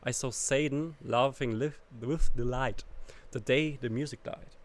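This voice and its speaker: Brief: German-accented man, podcast guest, reads lyrics then muses haltingly, mid-pitched voice. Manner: reads lyrics aloud between halting, restarting comments. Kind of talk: casual podcast chat.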